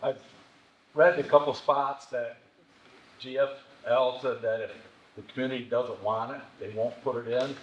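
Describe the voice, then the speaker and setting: Man asking a halting question, dull sound. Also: medium pitch, fairly even intonation. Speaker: resident at public meeting. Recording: dull echoey hall.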